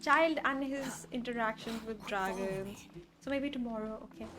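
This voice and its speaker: High-voiced excitable young woman streamer. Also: normal speed, noisy background. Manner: excitable, gushing fan, slangy. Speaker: streamer reacting to a game. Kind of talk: casual live reaction commentary.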